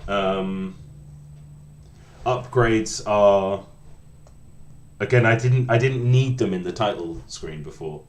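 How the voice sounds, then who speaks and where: A man in his late thirties with a low voice talks through his coding session on a livestream, trailing off and restarting his sentences. His casual, self-deprecating chat rings out in a roomy, echoey space. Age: 30s. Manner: rambling, halting, many restarts, self-deprecating. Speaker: game developer streaming.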